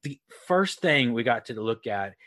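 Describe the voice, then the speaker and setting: A man's voice: medium pitch, lively intonation, unhurried, fairly bright tone, no echo, clean studio sound. A man, a guest coach giving casual, friendly interview answers full of fillers. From Texas, USA. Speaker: guest, online marketing coach. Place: lives near Leeds, UK.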